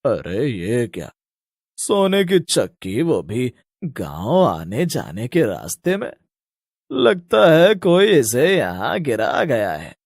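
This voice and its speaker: A man's voice: medium pitch, wildly swooping pitch, slow pace, vocal fry, no echo, studio quality, heavily acted. A man playing a poor, greedy villager in a storybook tale, drawling as he muses to himself. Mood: puzzled.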